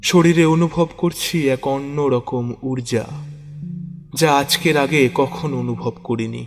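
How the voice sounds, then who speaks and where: A man narrating a first-person horror story theatrically, with halting, suspenseful pauses. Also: mid-range voice, steady tone. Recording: long reverb, music bed underneath. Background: background music.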